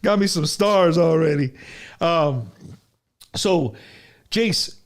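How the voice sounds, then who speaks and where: A man's voice: on the high side, expressive, takes it slowly. A man hosting a casual livestream music interview, loose and slangy, laughing easily and calling his guest bro and dude. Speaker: host of an online music interview show.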